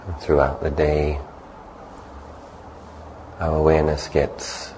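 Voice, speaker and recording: Really deep voiced guy doing a guided meditation, flat and calm, bit of background noise. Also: poor audio. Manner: calm, unhurried, gently instructive. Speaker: Buddhist monk leading guided meditation.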